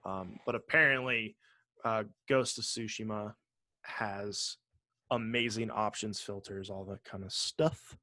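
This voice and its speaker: A man co-hosting a gaming podcast in casual chat, joking and sarcastic, swearing freely and often halting to rephrase. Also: lowish voice, fairly even intonation, sharp tone, no echo. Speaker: podcast co-host.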